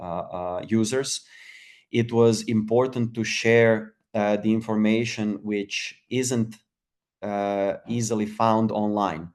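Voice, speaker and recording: Male webinar speaker, low and monotone, hesitant with many restarts. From Croatia. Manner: halting, many fillers and restarts. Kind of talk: casual webinar talk.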